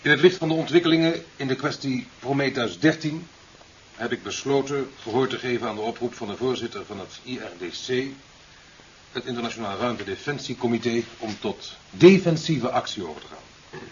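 Radio play president male actor: commanding, solemn, oratorical, mid-pitched, on dull vintage tape. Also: animated, brisk, lightly acted. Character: stern, resolute male president addressing officials. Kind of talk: 1970s Dutch radio play acting. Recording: dull, hissy 1970s radio recording.

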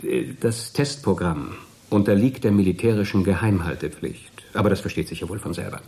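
An actor playing a jovial, smug military colonel in a radio play, patronising and soothing in officialese. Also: male voice, low-pitched, fairly quick, gravelly, a little mellow. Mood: official, self-satisfied. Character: jovial, smug military colonel addressing his retired astronauts. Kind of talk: German radio play acting.

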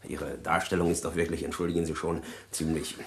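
A deep-voiced, doubting man in a radio crime drama. He is sceptical yet courteous, hesitating tactfully over his words. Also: level tone, fairly quick, creaky. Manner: hesitant, word-searching politeness. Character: blunt but apologetic man doubting the prisoner's story. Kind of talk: German radio crime play acting.

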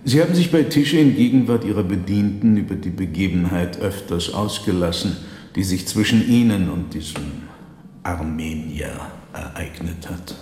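An actor playing a resigned, candid prisoner, a conjurer speaking formally and deferentially in a German radio drama. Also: male voice, low-pitched, moderate pace, warm tone, natural voice. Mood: candid, explaining. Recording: echoey hall, audible background noise.

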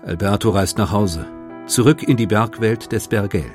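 A man with a fairly low voice narrates a scripted documentary. His delivery is measured and factual, and soft music runs faintly underneath.

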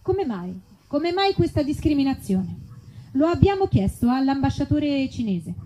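A woman with a very high voice performs a spoof advert on stage. There is faint noise in the live recording. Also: sing-song, measured pace, mellow, poor audio. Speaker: performer in comedy sketch. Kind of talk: mock advertisement and comic sketch.

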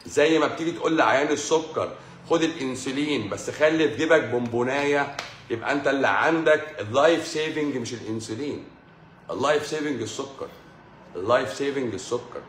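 A man's voice: medium pitch, fast, a bit bright, reverberant, slight background noise. A man with an Egyptian Arabic accent giving a health lecture on a livestream, stressing and repeating his points and mixing in English medical terms. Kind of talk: livestream lecture with viewer questions. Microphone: livestream microphone.

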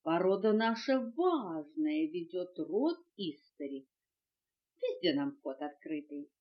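A self-important big lady housefly from a storybook, acted out by a woman reader. She brags in a haughty, stretched-out way, swinging widely in pitch. The sound is a dull home cassette. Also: full character voice. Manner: haughty, drawn-out bragging. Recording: muffled home cassette recording.

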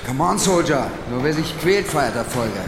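An actor playing a harsh, domineering young ex-soldier in a radio drama, curt and commanding. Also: male voice, a little high, lively intonation, moderate pace, very noisy. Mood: goading.

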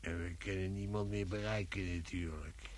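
A man's voice: low-pitched, fairly even intonation, moderate pace, slight background noise, natural voice. An actor playing a disgruntled, dreamy yet bullying workman in a radio play. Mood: weary grumbling. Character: disgruntled working man hauling a corpse, grumbling, dreamy, bullying.

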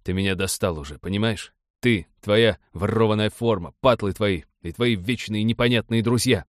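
A man's voice: fairly low pitch, normal speed, no room sound, studio quality, natural voice. A man voicing an aggressive police commando who barks orders in a commanding manner, in an audiobook story. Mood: menacing, angry. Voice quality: muffled with a commanding rasp. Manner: barking, commanding.

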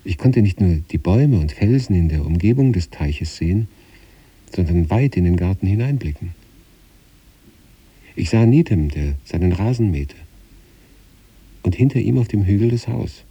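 Male narrator for literary prose, very dark and low timbre, measured, contemplative read. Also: lively intonation, breathy edge, no echo. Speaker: narrator reading a literary story. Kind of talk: scripted literary narration in the first person, as letters.

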